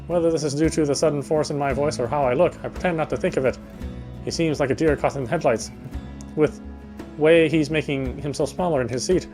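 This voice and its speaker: A man's voice: a little high, fairly quick, a little mellow, soft background music. A man reading first-person visual novel text aloud as narration, stumbling now and then over words.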